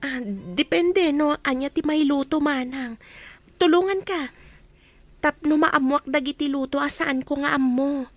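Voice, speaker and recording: Woman playing a high-voiced, eager new housemaid. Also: steady tone, a little slow, soft background music. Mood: polite, eager. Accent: Ilocano. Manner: polite, inquisitive. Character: young female new housemaid from the province, polite, curious, eager. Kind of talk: village comedy radio drama.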